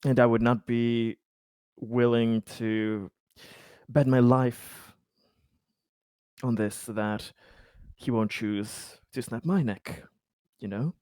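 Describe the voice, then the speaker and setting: Colony insider played by a man, urgent and insistent, halting and slow delivery, dry close sound, sci-fi tabletop game. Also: medium pitch, steady tone, a little mellow, clear, resonant tone, no echo, close to an everyday voice. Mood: grave, wary. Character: urgent colony insider warning against a dangerous synthetic. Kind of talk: improvised in-character roleplay.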